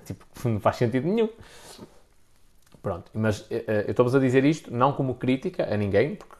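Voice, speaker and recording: Portuguese guy, european portuguese accent, medium pitch, blunt slangy opinions, repeats stuff to hammer it home. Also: animated, fairly quick, darkish tone. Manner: blunt, slangy, emphatic with repetition. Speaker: content creator giving business advice. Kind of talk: informal opinionated talk to an audience.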